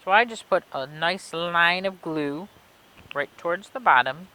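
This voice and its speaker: A woman reading and explaining things for children in an expressive way, with simple, clear phrasing, like a children's librarian. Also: fairly low pitch, unhurried, rough recording. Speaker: children's librarian reading a story and demonstrating a craft. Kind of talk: read-aloud storytelling and craft instructions for children.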